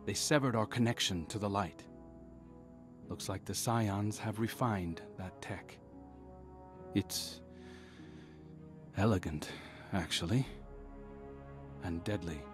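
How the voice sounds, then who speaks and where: An actor playing an earnest, defiant and protective undercover agent in a video game, intense and sincere, with music behind. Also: male voice, on the low side, close to an everyday voice. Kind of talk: dramatic video game dialogue.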